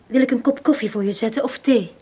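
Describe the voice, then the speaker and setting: A woman's voice: a little high, quick pace, mellow, husky. Wistful young Flemish woman. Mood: shy, lonely. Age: young adult. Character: lonely, wistful young harbour-town woman, quietly insistent. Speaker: actor in a radio drama. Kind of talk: 1980s Dutch-language radio drama acting.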